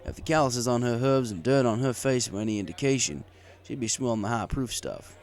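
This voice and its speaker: A man's voice: mid-range voice, a little fast, darkish tone. A man reading a story aloud in the first person as a bartender narrator. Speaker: audiobook reader. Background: music.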